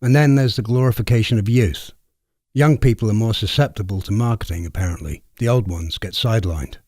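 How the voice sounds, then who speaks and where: A man's voice: on the low side, brisk, darkish tone, no echo, clean studio sound. A man co-hosting a conversational interview discussion, offering his own reflections. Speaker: co-host interviewer.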